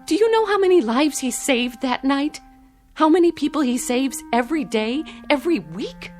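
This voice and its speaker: A woman's voice: high voice, lively intonation, moderate pace, soft background music. A woman in a radio drama playing a young, determined wife, earnest, well-spoken and emphatic. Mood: defensive, impassioned. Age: young adult.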